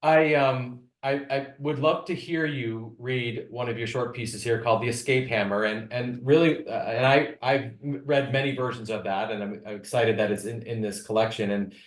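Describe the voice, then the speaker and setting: Male interviewer, mid-range voice, conversational and halting, rambles into questions, noticeably roomy recording. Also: medium pitch. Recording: roomy, echoing sound.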